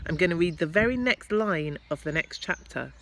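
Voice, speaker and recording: A woman teacher reading a children's story aloud expressively, with deliberate, dramatic emphasis. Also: fairly low pitch, expressive, very noisy.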